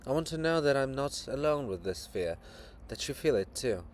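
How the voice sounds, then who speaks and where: A man's voice: medium pitch, animated, normal speed, slight background noise, lightly acted. Man reading as a troubled, earnest princess. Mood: afraid, grave. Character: princess Olha, living monument, woman, troubled and earnest.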